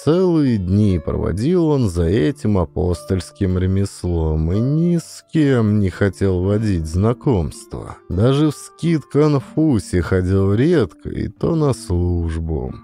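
A man reading classic prose as an audiobook narrator, in an expressive, theatrical, folksy storytelling style. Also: fairly low pitch, slow pace, hardly any pauses, soft background music.

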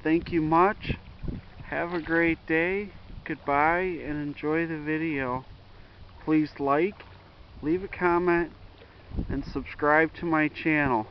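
A man's voice: a little high, steady tone, dull audio, slight background noise. A man hosting his own video, narrating casually outdoors by a river while filming with a handheld camera. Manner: slow, friendly, filming while walking. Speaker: channel host. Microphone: handheld camera mic.

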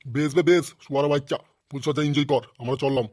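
A man's voice: mid-range voice, steady tone, fast talker, a little mellow, no room sound, studio quality, natural voice. A man voicing a bossy, blustering village man in a comedy cartoon, with crude speech. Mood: scolding. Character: bossy, foul-mouthed village man at a wedding. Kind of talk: amateur comedy cartoon voice acting.

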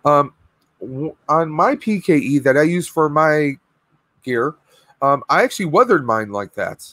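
Hoarse stream host, thirties, talks in a dry, close, higher voice with lots of fillers. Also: male voice, expressive, measured pace, a bit bright, dry recording. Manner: chatty, rambling with fillers. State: losing his voice, clearing throat. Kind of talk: casual live stream chat. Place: home room with collectibles, indoors. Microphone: webcam video call.

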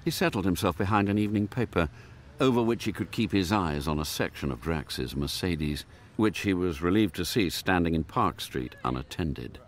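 Deep-voiced male radio drama narrator. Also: lively intonation, moderate pace, few pauses.

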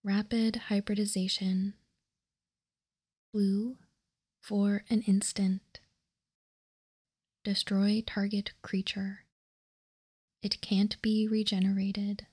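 A woman whispering softly and breathily in an ASMR style, reading aloud in a hushed, deliberate way close to the microphone. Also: mid-range voice, little pitch movement. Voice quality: soft unvoiced whisper, breathy. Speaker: ASMR host.

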